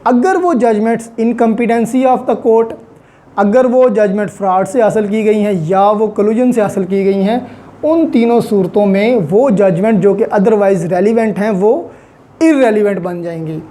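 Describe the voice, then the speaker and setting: A woman with a Pakistani accent and a very dark, mellow voice at a middling pitch. She teaches law in a methodical way, breaking explanations into short phrases and going back over key points. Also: measured pace. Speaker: law lecturer. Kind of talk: explanatory lecture reviewing legal articles.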